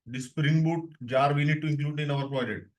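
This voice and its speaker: A man's voice: fairly high pitch, steady tone, fairly quick. A young man with an Indian English accent teaching a live online tech lesson, repeating himself and often checking that students follow. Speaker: DevOps instructor.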